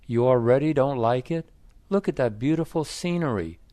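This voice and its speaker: Man playing a sarcastic husband, pitch jumps up on questions, dry close sound. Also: expressive, normal speed, warm tone, dry recording, natural voice. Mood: exasperated.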